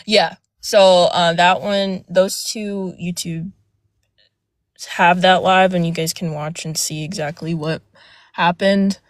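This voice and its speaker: A young woman with a soft, fairly low voice recounts her experience in a hesitant, filler-heavy way. The sound is dry and close, with no room around it. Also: steady tone, slow, dry recording. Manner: hesitant, many fillers and restarts. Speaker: guest speaker on a live panel. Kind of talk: casual personal account. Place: outdoors near a road.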